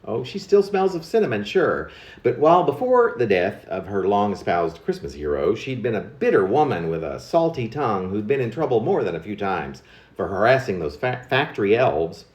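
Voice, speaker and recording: A man's voice: on the low side, big pitch swings, normal speed, darkish tone, echoey room. A man reciting his own humorous narrative poem in a wry, confiding way, like a storyteller passing on gossip. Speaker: poet reading own work.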